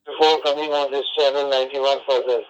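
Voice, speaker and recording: A man's voice: mid-range voice, flat delivery, quick pace, clean tone. A man talking as an amateur radio operator, polite and hesitant, over a lo-fi radio link with some noise. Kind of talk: radio exchange. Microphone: radio transceiver microphone. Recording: noisy lo-fi digital ham radio transmission.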